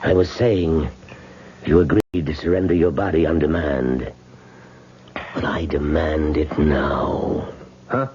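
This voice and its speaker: Cold, formal old man, vintage radio drama, unhurried and dark-toned, muffled sound. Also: a bass voice, steady tone, husky, full character voice. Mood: cold, demanding. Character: cold, insistent old rich man demanding a body.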